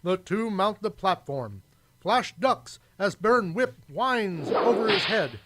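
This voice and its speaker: A man's voice: sits high, big pitch swings, takes it slowly, a bit bright, a little hiss or hum. A man narrating a scripted adventure serial in old-time radio style.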